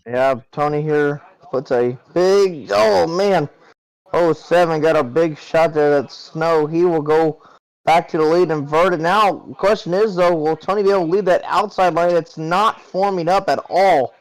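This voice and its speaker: Smooth-voiced male race announcer. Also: a little high, measured pace, rough recording. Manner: chatty, conversational race calling. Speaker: play-by-play commentator. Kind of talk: sim racing broadcast commentary.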